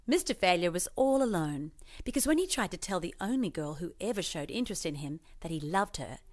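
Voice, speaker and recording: A woman reading a scripted children's storybook as parody, expressive and mock-earnest in her storytelling. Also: fairly low pitch, fairly quick. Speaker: storybook narrator.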